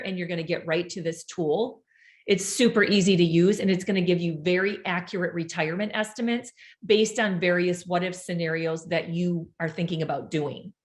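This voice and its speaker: A woman giving an informational presentation, brisk and upbeat, explaining things step by step and answering audience questions. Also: lowish voice. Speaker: presenter of a benefits seminar.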